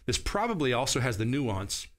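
A man's voice: medium pitch, very animated, moderate pace. A man in his forties preaching at a table in a small studio. He explains his verses one by one in an earnest teaching tone and now and then checks that his listeners are following. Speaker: pastor. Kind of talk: sermon. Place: small studio set with a wooden wall. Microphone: seated at a table, camera at medium distance.

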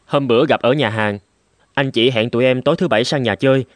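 A man voicing an indignant, protective younger brother in an animated drama, emphatic and earnest. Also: medium pitch, fast talker, no echo, natural voice. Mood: polite, probing. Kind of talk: Vietnamese animated drama dubbing.

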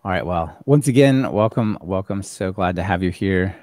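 Upbeat low-voiced man hosting a podcast. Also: expressive, normal speed, darkish tone, dry recording, studio quality. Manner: upbeat, welcoming. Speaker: podcast host. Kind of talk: casual live-stream podcast chat. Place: home office, indoors. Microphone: studio mic on boom arm.

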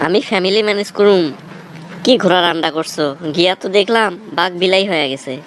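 A man's voice: high-pitched, fast, lightly acted. A man playing a cocky, theatrical young jilted village lover in a comic cartoon, with a Bangladeshi accent. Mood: defensive, agitated. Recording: noticeable background noise.

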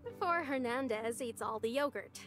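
High-voiced motherly lady park ranger. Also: lively intonation, moderate pace, a bit bright. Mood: teasing. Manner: affectionate, motherly scolding. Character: caring but strict, teasing female park ranger friend. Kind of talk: visual novel game voice acting. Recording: music behind the voice.

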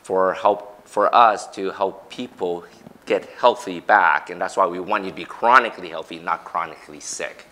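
Deep-voiced man from Hong Kong, easygoing. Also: normal speed, sharp tone. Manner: easygoing, explanatory. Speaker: company CEO, guest. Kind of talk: interview answers. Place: TV studio set. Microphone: lapel mic.

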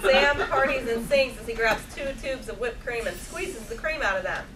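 A woman's voice: fairly high pitch, normal speed, fairly bright tone. A woman reading scripted stage directions at a live table read. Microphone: far camera microphone. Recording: distant echoey noisy stage recording.

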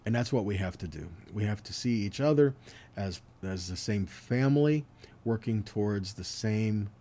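A man's voice: lowish voice, expressive, moderate pace, mellow. A man giving a Bible teaching, reading verses and explaining them earnestly, often checking in with his listeners. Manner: earnest, explanatory, with check-in tags like "okay?" and "right?".

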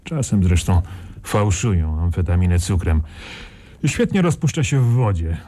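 An actor in a radio crime drama playing a world-weary, sarcastic journalist who knows the drug trade. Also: male voice, low voice, big pitch swings, normal speed, warm tone. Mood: sardonic. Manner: dry, sarcastic.

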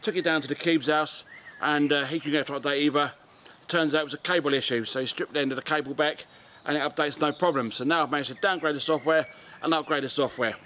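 English man, forties, slightly high voice, casual video host presenting outdoors with light background noise. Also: fairly even intonation, fast, bright, forceful tone. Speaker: amateur radio video host. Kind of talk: casual product demonstration. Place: outdoors in a garden and on a street. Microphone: camera mic, arm's length or further.

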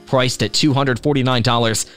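A man's voice: medium pitch, fairly even intonation, moderate pace, a bit bright, soft background music. A man reading scripted product review narration with a polished promotional delivery.

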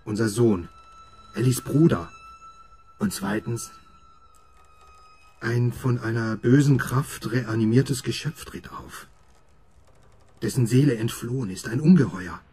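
A man's voice: mid-range voice, slow, creaky, pauses often, mellow, slightly rough, heavily acted. An actor playing a brooding, obsessive middle-aged father and doctor, intense and introspective, in a radio horror drama. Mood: agitated, obsessive. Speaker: narrator and lead character. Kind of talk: radio horror drama, dialogue and first-person narration.

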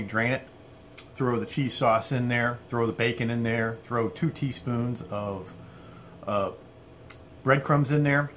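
A man in his fifties hosting a casual outdoor cooking demo, relaxed and chatty, often saying "all right", recorded on a camera mic on a patio. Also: medium pitch, slight background noise. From Florida. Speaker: outdoor cooking channel host. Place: outdoor covered patio at a table.

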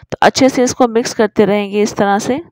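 A woman's voice: medium pitch, fairly even intonation, brisk, a little mellow, no echo. A woman narrating a recipe tutorial as a voice-over, explaining each step in an instructive way. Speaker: cooking channel host.

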